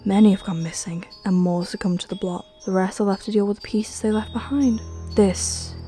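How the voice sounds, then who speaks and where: A woman's voice: mid-range voice, fairly even intonation, moderate pace, mellow. A woman narrating a scripted fantasy trailer in a solemn, dramatic storytelling manner. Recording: music behind the voice. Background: music.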